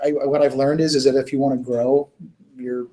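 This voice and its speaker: A man with a mid-range voice talks as a guest in a conversational interview. He tells his stories earnestly and informally, halting now and then as he searches for the next phrase. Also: medium pitch, flat delivery, mellow. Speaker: interview guest, children's music charity worker.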